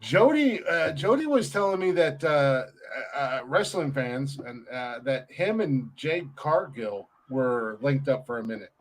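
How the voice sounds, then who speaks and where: A man's voice: mid-range voice, animated, a little slow, hardly any pauses. Laughing, rambling podcast host, male. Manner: laughs often, rambling with fillers. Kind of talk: casual comedic banter.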